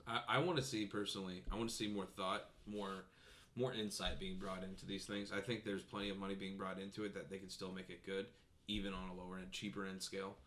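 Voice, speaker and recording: A man's voice: low-pitched, flat delivery, fast talker, pushed and bright. A man co-hosting a podcast, talking casually about games in a round-table conversation. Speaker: podcast co-host.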